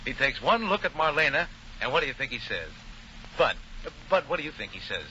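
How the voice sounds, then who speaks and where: A man's voice: medium pitch, big pitch swings, fairly quick, sharp tone. A man hosting a 1940s radio show in an effusive, showman-like announcing style. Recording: dull, noisy 1940 radio recording.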